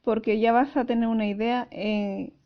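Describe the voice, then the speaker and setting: A woman's voice: on the high side, fairly even intonation, normal speed. A woman school teacher giving a recorded class lesson, explaining carefully and didactically. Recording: dull lo-fi audio.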